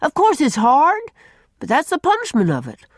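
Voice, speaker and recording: A woman's voice: sits high, huge pitch swings, normal speed, no echo, clean studio sound, voice pushed up, exaggerated voice. A woman voicing a bossy, stoical boy with an emphatic, commanding manner in a storybook. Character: bossy, stoical boy, firm older brother.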